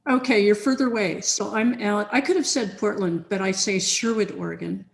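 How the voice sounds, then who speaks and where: A woman's voice: medium pitch, normal speed, vocal fry. A woman chatting casually over a video call from her home office, rambling with lots of fillers and restarts. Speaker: software team researcher in a chain conversation. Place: home office with big sunny windows. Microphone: computer on a video call.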